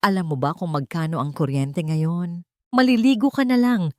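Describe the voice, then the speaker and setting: A woman's voice: on the low side, normal speed, darkish tone, no room sound, clean studio sound, lightly acted. A woman voicing a harsh, nagging older mother-in-law in a narrated story, complaining and stressing her words. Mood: angry scolding. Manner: scolding, complaining, emphatic.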